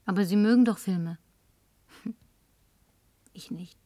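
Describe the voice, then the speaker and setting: An actress in a German radio play playing a flirtatious, tipsy, insistent career woman with coquettish, languid phrasing and breathy murmurs. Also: medium pitch, slow pace, mellow, dry recording, lightly acted. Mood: confiding.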